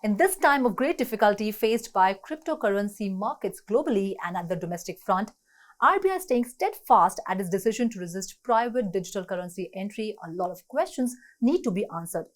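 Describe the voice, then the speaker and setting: A woman with an Indian English accent hosting a TV interview, reading brisk scripted introductions and asking questions. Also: mid-range voice, animated. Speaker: TV show host, interviewer.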